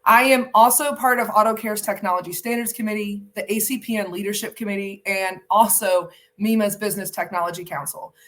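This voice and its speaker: A woman's voice: mid-range voice, steady tone, fairly quick, few pauses, a bit bright. Chatty woman, mic at a distance. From Atlanta, Georgia, USA. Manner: chatty, self-correcting. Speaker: industry head. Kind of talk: self-introduction.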